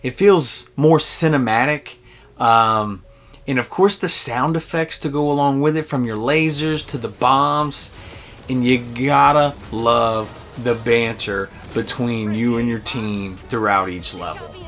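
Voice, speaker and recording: A man's voice: medium pitch, unhurried, a bit bright. A man giving a casual, enthusiastic video game review in a conversational way. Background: game music behind the voice.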